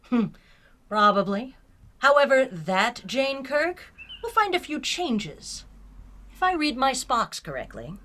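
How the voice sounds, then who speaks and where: Woman playing a bright, animated starship captain. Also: sits high, takes it slowly, full character voice. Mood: wry. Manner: theatrical, emphatic speechmaking. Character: bold, persuasive female starship captain. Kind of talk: fan audio drama acting. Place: remote virtual production.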